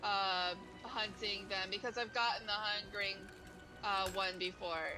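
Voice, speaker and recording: A woman's voice: medium pitch, steady tone, unhurried. A woman explaining and giving directions as a crewmate in casual online game chat. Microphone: online voice chat mic. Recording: noisy game voice chat with clicks.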